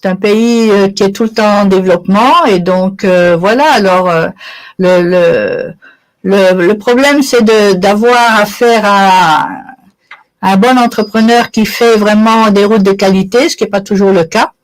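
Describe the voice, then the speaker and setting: A woman's voice: medium pitch, unhurried. A woman of Italian origin giving conversational interview answers as a guest, explaining things with everyday examples. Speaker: interview guest, charity sponsorship volunteer.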